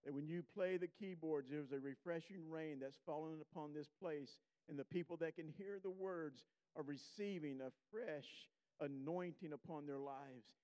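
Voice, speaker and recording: A man preaching a sermon as a guest, slow and emphatic, sniffling a little. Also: a little high, warm tone, smooth, low-quality recording.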